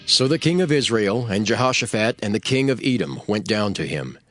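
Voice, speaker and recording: A male narrator reads scripture as formal drama. His solemn storytelling moves widely in pitch, with music clearly audible underneath. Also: expressive, fairly quick, a bit bright, no echo, clean studio sound.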